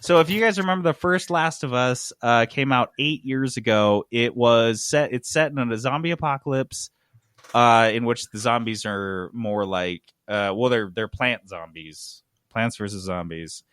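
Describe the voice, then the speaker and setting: A man's voice: medium pitch, normal speed, a bit bright, clean tone, dry recording, studio quality. A man hosting a gaming podcast, explaining news casually in an emphatic, sarcastic, sweary way. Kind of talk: casual comic explaining of game industry news.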